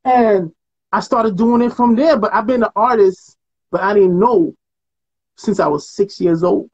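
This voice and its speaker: A man's voice: high voice, a little slow, a little mellow, muffled recording. A middle-aged man from Trinidad and Tobago, telling stories in a casual, slangy interview chat over a video call from home. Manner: laid-back storyteller, slangy, laughs often. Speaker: artist guest. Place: home room with painted walls. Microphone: video call webcam.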